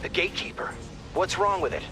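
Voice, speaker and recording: An actor playing an alert, businesslike and slightly wry mission handler in a video game, giving scripted tactical updates. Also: male voice, high voice, lively intonation, fairly quick, music in the background. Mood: uneasy.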